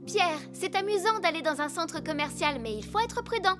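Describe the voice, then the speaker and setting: A woman voicing a caring female rescue vehicle, teaching safety to children in a clear, instructive way for a cartoon. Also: very high-pitched, expressive, moderate pace. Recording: loud children's music behind.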